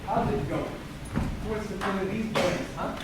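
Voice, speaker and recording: An actor playing an eager, chummy vocabulary word come to life in a stage comedy. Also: male voice, high-pitched, little pitch movement, normal speed, close to an everyday voice. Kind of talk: theatrical stage comedy acting. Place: stage in a hall, recorded from afar. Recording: distant echoey stage recording, loud noise.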